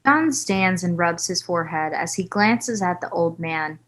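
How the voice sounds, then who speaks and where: Casting: female narrator with a slightly low voice, reading scene headings and action lines. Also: a little slow, a bit bright. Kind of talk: reading screenplay stage directions.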